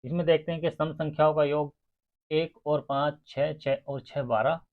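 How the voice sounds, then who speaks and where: Guy teaching class, counts sums out loud, talks right to students, thin phone-line audio. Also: on the high side, fairly even intonation, normal speed. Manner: addresses students directly, counts sums out aloud. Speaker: maths teacher for exam aspirants. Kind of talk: step-by-step lesson to students. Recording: muffled, phone-like narrow sound.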